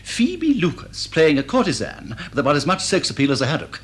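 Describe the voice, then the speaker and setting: A man's voice: fairly high pitch, huge pitch swings, a little fast, a bit bright. An actor playing a vain, indignant middle-aged English stage star who declaims grandly and answers his own questions in a radio comedy. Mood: grandly aggrieved. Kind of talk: stage drawing-room comedy in radio drama.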